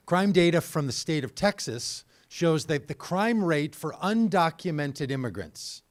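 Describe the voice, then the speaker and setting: A man hosting a live event, talking casually and quizzing the audience. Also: on the high side. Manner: energetic, conversational, quizzing the audience. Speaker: host. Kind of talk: live event talk with trivia. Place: indoor event venue.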